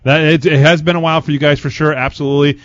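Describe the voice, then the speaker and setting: A man's voice: on the high side, level tone, rapid-fire, fairly bright tone, no echo. A man hosting a conversational hockey radio interview, chatty and wordy with a joking way about him. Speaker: sports radio show host, interviewer. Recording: dull, lo-fi radio sound.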